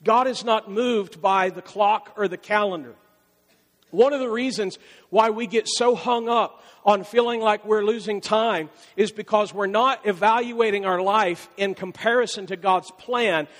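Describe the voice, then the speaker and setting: A high-pitched man preaching from a church pulpit, speaking conversationally and circling back to repeat key phrases. His voice rises for emphasis, and a long reverb from the large hall trails behind each line. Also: moderate pace. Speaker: pastor. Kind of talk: sermon.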